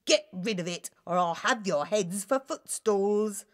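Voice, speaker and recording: A woman's voice: medium pitch, lively intonation, unhurried, close to an everyday voice. A woman voicing a blustering, short-tempered king in a children's storybook, with an imperious, exclamatory delivery. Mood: imperious fury.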